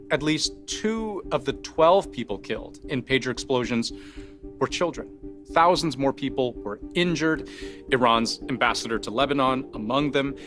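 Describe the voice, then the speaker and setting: Male news explainer over a music bed. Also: medium pitch. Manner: deliberate, emphatic phrasing with rhetorical questions. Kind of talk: scripted explanatory news narration. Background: background music bed.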